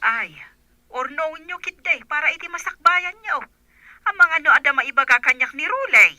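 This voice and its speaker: A woman's voice: sits high, expressive, normal speed, sharp tone, dry recording, heavily acted. An actress with a Filipino accent playing a worried, loving mother in a radio drama, heard through a telephone filter. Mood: concerned.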